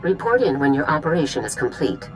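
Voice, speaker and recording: A woman's voice: deep voice, sing-song, fairly quick, soft background music, low-quality recording. A woman playing a stern army general giving a mission briefing over a comm channel. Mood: commanding. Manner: crisp, authoritative briefing.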